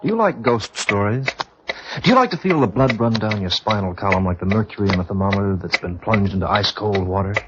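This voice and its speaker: A man's voice: fairly low pitch, animated, fast, close to an everyday voice. A man playing a wry, confiding storyteller, narrating a vintage radio mystery in a suave, theatrical manner. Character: wry, confiding male storyteller of ghost tales. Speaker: host and narrator. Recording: muffled, noisy old radio recording.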